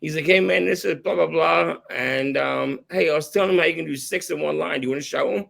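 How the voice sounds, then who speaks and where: A hoarse man who is losing his voice, hosting a podcast and chatting candidly in a loose, casual way. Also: on the high side, quick pace, fairly bright tone. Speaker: podcast host.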